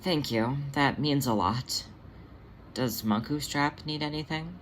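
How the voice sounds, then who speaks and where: Anxious female cat for fan audiobook, voiced by the narrator; wary, hesitant, mid-pitched. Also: slow, a little hiss or hum, close to an everyday voice. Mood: grateful, timid. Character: Demeter, anxious, wounded, defensive young female cat.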